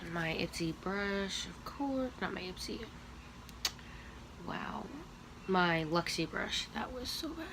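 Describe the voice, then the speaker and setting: Young woman, sniffly, unhurried makeup-tutorial chat, noisy home recording. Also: mid-range voice, lively intonation. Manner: slow, chatty, talking while applying makeup. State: sniffly from allergies. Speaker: beauty vlogger.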